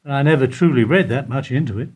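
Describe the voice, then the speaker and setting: A man acting in a radio play as an evasive older bank employee who answers in a guarded, deliberate way. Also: medium pitch, darkish tone, husky, lightly acted. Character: evasive older male bank foyer employee under questioning.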